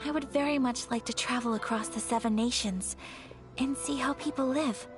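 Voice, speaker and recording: An actress playing a polite, earnest young noblewoman in a video game, speaking in a formal, measured and reserved way. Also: a little high, close to an everyday voice. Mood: gentle, wistful. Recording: game music behind the voice.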